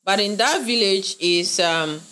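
Woman from Ghana, interview guest, earnest and bright, circles back on her points. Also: mid-range voice, lively intonation, measured pace, low-quality recording. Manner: earnest, repeats phrases, laughs often. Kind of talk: interview conversation.

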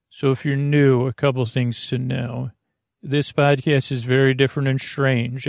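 A man with a creaky voice hosting a podcast, delivering a meandering bedtime monologue with halting, repeated words and tangents. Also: mid-range voice, no echo, clean studio sound. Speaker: podcast host.

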